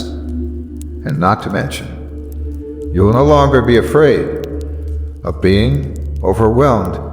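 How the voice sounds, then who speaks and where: Slow, echoing male motivational narrator. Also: medium pitch. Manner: deliberate, solemn, emphatic with weighty pauses. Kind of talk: dramatic motivational speech narration. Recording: echoey long reverb over music. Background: background music.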